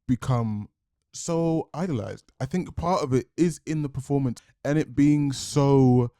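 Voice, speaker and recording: A man giving a reflective film review, thinking aloud, restarting sentences and swinging between lively and quiet. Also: medium pitch, a little slow, no room sound, studio quality. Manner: thoughtful, analytical, restarts often.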